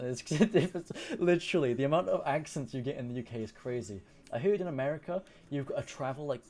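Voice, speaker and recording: Mellow-voiced Welsh man streaming casually. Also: medium pitch. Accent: Welsh with English influence. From Wales. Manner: rambling, swears casually, answers chat. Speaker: live streamer. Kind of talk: casual live-stream chat.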